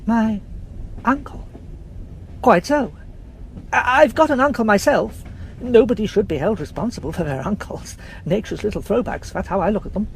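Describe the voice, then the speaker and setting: A woman voicing a chatty, gallant young English gentleman who talks in a breezy, teasing way. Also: medium pitch, normal speed, slight background noise, voice pushed up, natural voice, rough recording. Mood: playful.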